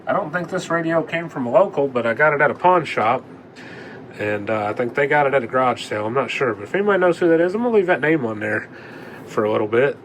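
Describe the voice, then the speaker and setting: Guy with a bit of a cold doing a casual demo, mid pitch, kinda rambling and folksy, noisy background. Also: expressive, fast, fairly bright tone. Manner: rambling, folksy, plain-spoken. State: coming down with a cold. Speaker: vlogger demonstrating a repaired radio. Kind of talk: casual hands-on demonstration talk. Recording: clear background noise.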